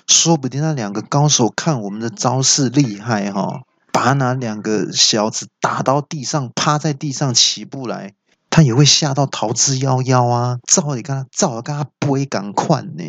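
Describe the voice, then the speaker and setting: A man's voice: mid-range voice, no echo, close to an everyday voice. A man voicing a boastful, scheming male mountain demon who draws out his words in a wheedling way.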